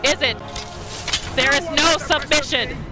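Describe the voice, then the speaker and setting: A woman giving casual, expressive gameplay commentary as a roleplay host. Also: high-pitched, unhurried, music in the background. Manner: expressive, exclamatory.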